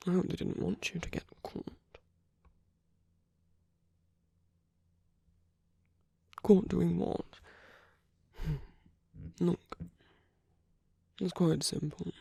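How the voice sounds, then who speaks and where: Casting: suave, teasing male villain, played by a man for ASMR roleplay; dark, creaky, breathy murmur, intimate and unhurried. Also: a little high, no echo, clean studio sound, full character voice. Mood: smooth, coaxing. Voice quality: soft, breathy. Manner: slow, teasing, murmuring, often dropping into whispers.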